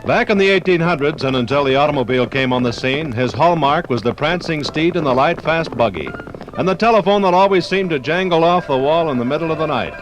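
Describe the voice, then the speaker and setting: A man's voice: a little high, expressive, fairly quick, soft background music, low-quality recording. An American man narrating a 1950s radio documentary from a script in a dramatic storytelling manner.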